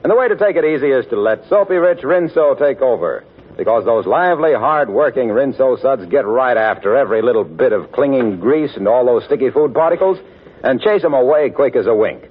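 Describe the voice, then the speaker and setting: A man's voice: medium pitch, animated, fairly quick, slightly rough. A man working as a 1940s radio announcer, reading scripted copy in a folksy, persuasive style. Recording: tinny, narrow-band vintage radio recording.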